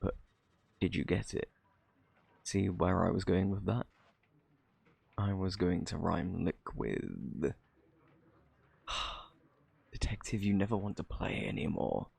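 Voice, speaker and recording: Male actor as flirty criminal, British accent, very gravelly low voice, smug teasing drawl, ASMR roleplay. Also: slow pace, long pauses, darkish tone, no echo, exaggerated voice. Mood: teasing, pouty. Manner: teasing, drawling, theatrically coy.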